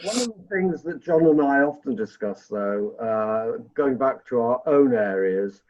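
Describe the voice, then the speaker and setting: Over a narrow, phone-like connection, a man co-hosts a political discussion. His mid-pitched voice works through long, opinionated questions in a conversational way. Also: lively intonation, a little slow, a little hiss or hum. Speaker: podcast co-host. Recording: tinny narrow phone-like line.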